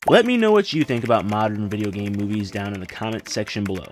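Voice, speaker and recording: A man's voice: on the low side, lively intonation, fast, heavy background noise. A man narrating a scripted film video essay as its host, with wry, sardonic asides. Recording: music behind. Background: background music.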